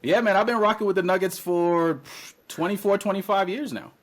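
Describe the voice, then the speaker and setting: A man in his thirties from Trinidad and Tobago, a podcast guest chatting casually and telling lively stories in which he acts out his own past words. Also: sits high, fairly quick.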